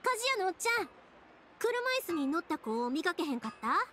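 A woman's voice: high voice, big pitch swings, a little fast, noisy background. A woman playing a caring, upbeat young game heroine with a Kansai accent, speaking in a reassuring, folksy way. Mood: determined. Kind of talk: anime game voice acting. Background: background music.

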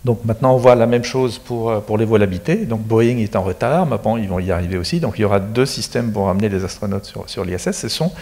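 A man's voice: on the low side, a little mellow. Middle-aged man explaining in a reverberant hall. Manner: explanatory, technical, matter-of-fact. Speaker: space agency expert guest. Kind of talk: studio interview. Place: studio set with screens. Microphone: handheld mic.

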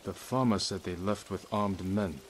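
An actor playing an honourable samurai lord in a game, stoic and solemn, with restrained, measured speech. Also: male voice, deep voice, noisy background, close to an everyday voice. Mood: calm. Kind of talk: dramatic game acting.

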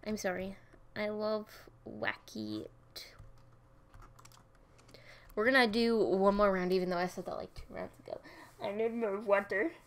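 A woman's voice: mid-range voice, lively intonation, a little slow, pauses often. A young woman chatting casually while playing a game, giggly and rambling, with keyboard typing nearby. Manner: chatty, giggly, jumps into silly voices and snatches of song. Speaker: gaming streamer. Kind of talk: casual gameplay commentary.

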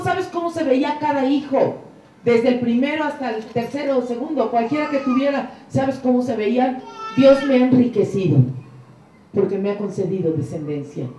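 A middle-aged woman giving an inspirational church talk on a handheld mic, fervent, moving between hushed prayerful passages and soaring emphatic lines. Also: high voice, normal speed, slight background noise. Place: room with curtained wall, indoors. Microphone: handheld mic, filmed from a distance. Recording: echoey room, camera far off.

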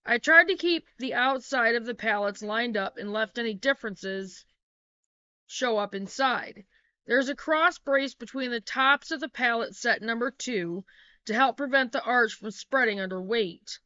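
A middle-aged woman reads her how-to notes in a bright, forceful, fairly high voice, practical and plain, now and then correcting herself mid-phrase. She sits at a laptop webcam in a small wood-paneled room. The sound is dry and dull, lo-fi. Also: smooth, no echo. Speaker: homesteading vlogger. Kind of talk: reading a how-to narration.

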